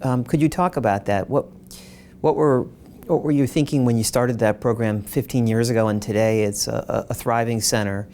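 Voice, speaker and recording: A man interviewing a retired surgeon, asking long, polite questions with frequent pauses. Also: medium pitch, fairly even intonation, a little mellow, a little hiss or hum.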